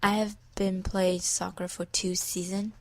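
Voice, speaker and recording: A woman's voice: mid-range voice, level tone, a little slow, no room sound. A teenage girl from Thailand, a student soccer player answering informally in an interview.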